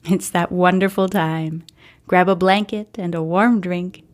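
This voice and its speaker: A woman's voice: fairly low pitch, steady tone, unhurried. A woman hosting and narrating a scripted story, reading a book aloud.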